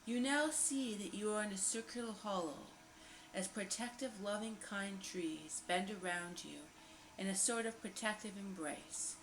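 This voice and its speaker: A woman leads a guided visualization at a medium pitch, lingering over phrases and repeating them soothingly. Her voice rings with noticeable room echo, and a faint layer of noise sits behind it. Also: a little slow, a bit bright. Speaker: guided meditation host. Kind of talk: guided meditation visualization narration.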